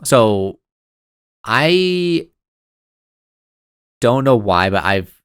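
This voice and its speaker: A man in his twenties co-hosting a podcast, chatting casually in an excitable, rambling way and laughing easily. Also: mid-range voice, extremely slow, clear, resonant tone, dry recording, studio quality. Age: 20s. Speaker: podcast co-host. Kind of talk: casual chat.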